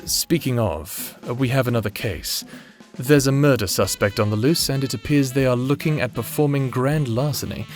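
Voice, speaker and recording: A man playing an earnest, reserved private investigator in an anime roleplay audio drama, speaking in measured, formal phrases. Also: mid-range voice, soft background music, natural voice. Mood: serious, caring. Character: earnest male private investigator, reserved, secretly fond of client.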